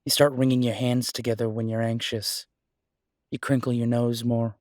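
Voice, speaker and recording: A protective werewolf detective played by a man in an intimate audio drama, with a mid-pitched voice in a very dry space. He is devoted and stubborn, speaking earnestly and insistently to his lover, with weighty pauses. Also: level tone, darkish tone, no echo, lightly acted. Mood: fiercely determined. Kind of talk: dramatic roleplay audio acting, intimate one-sided dialogue.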